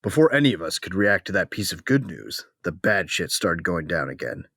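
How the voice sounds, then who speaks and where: A man's voice: deep voice, very animated, moderate pace, gravelly, a bit bright, slightly rough, no room sound, studio quality, heavily acted. An actor playing a battle-hungry, protective soldier who narrates his own story in a sci-fi audio drama. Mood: grim, dry.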